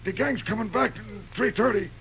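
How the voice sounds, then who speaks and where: A man's voice: high voice, moderate pace, vocal fry. An actor playing a frightened, uneducated rustic henchman in an old-time radio drama, folksy and hesitant. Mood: nervous, compliant. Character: frightened rustic gang henchman, tied up captive. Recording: muffled, noisy old radio recording.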